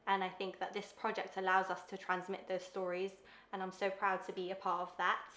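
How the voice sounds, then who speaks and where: A woman's voice: mid-range voice, completely flat delivery, brisk, fairly bright tone. A woman in her twenties interviewing her grandfather, speaking earnestly with frequent ums, in a living room. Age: 20s. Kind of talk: family interview. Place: bright living room, seated on sofa. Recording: roomy echo.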